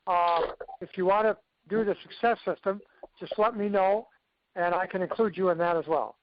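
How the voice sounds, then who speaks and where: Man, high voice, success coach, instructive team training talk, dull lo-fi conference call audio. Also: dry recording. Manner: instructive, repeatedly checking in with okay.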